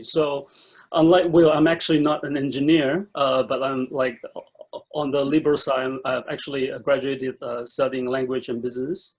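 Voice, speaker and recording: A man from China introduces himself as a founder on a panel, his voice somewhat husky. He speaks earnestly and pads his sentences with frequent "uh"s. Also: mid-range voice, darkish tone. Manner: earnest, lots of "uh" fillers. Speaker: startup founder and CEO, panelist. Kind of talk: self-introduction.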